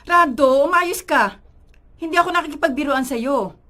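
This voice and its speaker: A woman's voice: high-pitched, moderate pace, bright, forceful tone. A woman playing a middle-aged Filipino mother who is stern but caring, in a radio drama. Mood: scolding.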